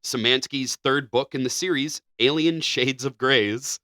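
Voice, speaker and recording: Male co-host with a crisp, bright edge, reading stories aloud in a conversational way. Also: medium pitch, a little slow, dry recording, clean studio sound. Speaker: podcast co-host. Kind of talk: casual chat and reading news articles aloud.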